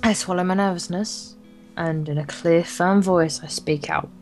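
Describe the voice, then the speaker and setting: Female let's player, lowish voice, theatrical and joking read of a visual novel, guitar music underneath. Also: steady tone, normal speed. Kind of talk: gameplay reading in character with joking asides.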